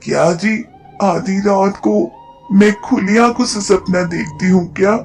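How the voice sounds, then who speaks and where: A woman's voice: mid-range voice, measured pace, gravelly, a little mellow, faint music behind. A performer playing a kindly, insistent village wife, a woman in a cartoon story. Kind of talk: Hindi cartoon story dubbing.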